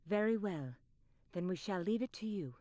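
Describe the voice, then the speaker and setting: A woman's voice: medium pitch, animated, moderate pace, warm tone, lightly acted. A woman playing a grave, commanding queen who speaks formally in a video game cutscene. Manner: formal, stately royal speech.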